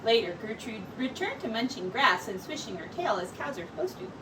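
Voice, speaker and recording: A woman with a high-pitched voice reads a children's story aloud like a teacher. Her delivery is animated, with dramatic pauses. She sounds some distance from the mic, with a faint noise behind her. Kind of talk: expressive picture book read-aloud.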